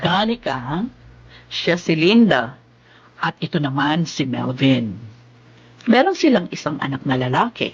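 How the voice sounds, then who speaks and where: An actress playing a gentle, patient orphanage nun who speaks soothingly in a Filipino radio drama. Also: female voice, low voice, very animated, measured pace, long pauses, husky, heavily acted. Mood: warm, reassuring. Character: gentle, consoling orphanage nun, adult woman. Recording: dull lo-fi audio under loud music.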